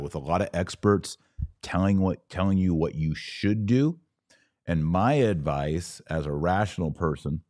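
A man's voice: very deep voice, a little mellow, no echo, clean studio sound. A man from Texas hosting a podcast, chatting casually and answering live comments in a folksy, emphatic way, often repeating his advice. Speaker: podcast host.

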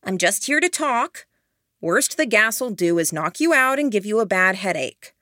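A woman voicing a cocky, scheming young man who taunts his rivals with a mocking, drawn-out delivery. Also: medium pitch, very animated, bright, forceful tone, dry recording, studio quality, voice pushed up. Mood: taunting. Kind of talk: audiobook character voice.

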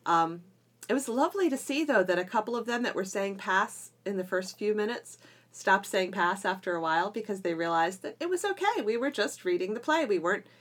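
A woman's voice: mid-range voice, expressive, brisk. A woman co-hosting a homeschooling podcast in casual, enthusiastic conversation. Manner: enthusiastic, self-described geeky. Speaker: podcast co-host and drama teacher.

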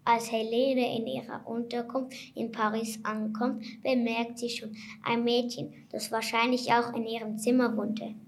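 A woman's voice: fairly high pitch, level tone, unhurried, hardly any pauses, slight background noise. A young female narrator reading a scripted children's audio play aloud. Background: music.

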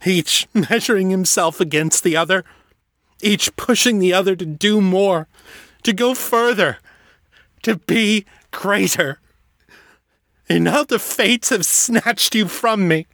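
A man voicing a brooding male dark lord who rants in anguish and breaks into sobs, in a storybook reading. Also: medium pitch, lively intonation, takes it slowly, long pauses, slightly rough, no echo, studio quality, exaggerated voice. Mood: grieving.

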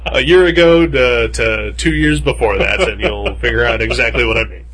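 A man co-hosting a casual podcast chat, talking haltingly with many filler pauses and dry jokes. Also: mid-range voice, a bit bright, rough recording. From half Puerto Rican family. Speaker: podcast co-host.

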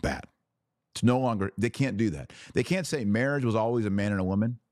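Male religious commentator, low voice, dry close sound. Emphatic, punchy, repeats key phrases, challenges the listener directly. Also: a little fast, a little mellow, dry recording. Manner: rhetorical questions to listeners, acting out imagined quotes. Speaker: podcast host and religious commentator. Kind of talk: impassioned religious commentary to a live audience.

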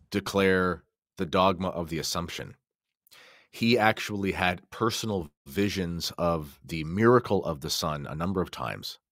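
Guy with a low voice talking through stuff on a podcast, keen and explanatory, dry close sound. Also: a bit bright, no room sound. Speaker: podcast guest or co-host commentator. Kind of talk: conversational podcast commentary.

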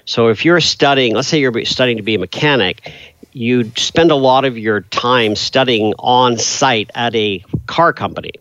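A man's voice: medium pitch, normal speed, a bit bright. A middle-aged man from England, raised in Canada, chatting as an interview guest, rambling with many fillers. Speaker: guest, business coach. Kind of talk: casual interview conversation. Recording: dull lo-fi dry sound.

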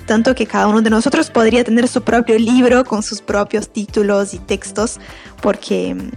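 A woman from Brazil with a Brazilian Portuguese accent, an author talking about her writing in a slow, thoughtful way with frequent pauses and fillers. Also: on the high side, fairly even intonation, creaky, darkish tone, breathy edge, soft background music. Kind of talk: interview, self-introduction and reading.

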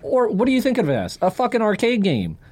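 A man's voice: high-pitched, huge pitch swings, quick pace, darkish tone, slightly rough. A man co-hosting a podcast, explaining his view informally in casual chat with friends. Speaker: podcast co-host.

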